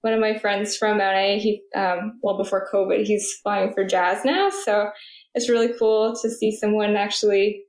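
A woman's voice: mid-range voice, steady tone, moderate pace. A young woman from Atlantic Canada, a flight attendant, answering interview questions in a chatty way with many ums. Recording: roomy echo.

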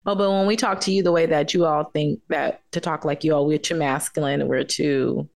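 Firm, measured woman podcast host. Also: sits low, fairly even intonation, vocal fry, a little mellow. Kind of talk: casual podcast debate.

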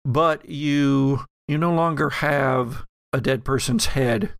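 A man narrating a tabletop role-playing game as game master, in a deliberate, wry, conversational way. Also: medium pitch, vocal fry, no room sound, clean studio sound.

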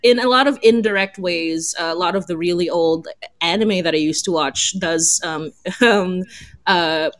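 Lower-pitched young woman, giggly and enthusiastic. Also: a little slow. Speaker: fiction podcast creator and guest. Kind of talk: casual group chat.

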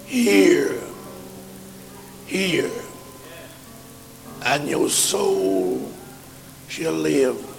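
A hoarse man a sermon in a chanting style that builds into shouts. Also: on the high side, animated, very slow, long pauses, in a large hall, faint music behind. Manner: chanted preaching rising to shouts. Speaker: preacher.

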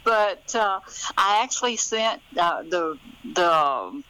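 A woman's voice: medium pitch, animated, takes it slowly, bright, forceful tone, clean tone, poor audio. An older American woman, telling stories conversationally as an author being interviewed.

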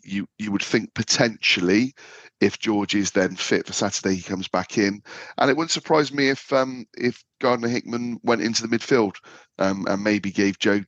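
A man with a low voice talks football in a relaxed podcast chat. He fills his sentences with "um" and restarts. The sound is dry and close. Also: no room sound, lo-fi sound. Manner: chatty, many fillers and restarts. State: slight man flu. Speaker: podcast co-host.

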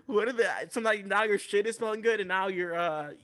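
Guy doing a bewildered chatty parent in a spoof ad, high voice, rambling. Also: a little fast, a bit bright. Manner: rambling, repetitive, hesitant. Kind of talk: improv comedy sketch.

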